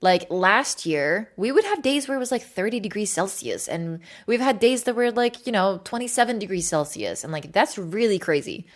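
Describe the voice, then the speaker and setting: A woman's voice: mid-range voice, animated, moderate pace, hardly any pauses. A woman from Sweden chatting casually and informally to livestream viewers, rambling with plenty of filler words and some swearing. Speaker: livestream host.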